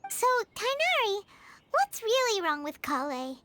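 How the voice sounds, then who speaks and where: A woman voicing a tiny, childlike, earnest female fairy companion in a video game, with a squeaky, openly expressive delivery. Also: measured pace, slight background noise, full character voice. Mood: concerned, curious. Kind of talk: scripted video game dialogue. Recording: music behind the voice.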